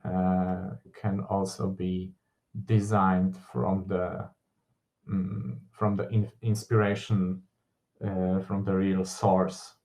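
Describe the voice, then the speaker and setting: Slow, hesitant man presenting. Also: sits low, completely flat delivery, mellow. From Poland. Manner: hesitant, frequent uh and mm fillers. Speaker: game artist presenting. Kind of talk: conference presentation.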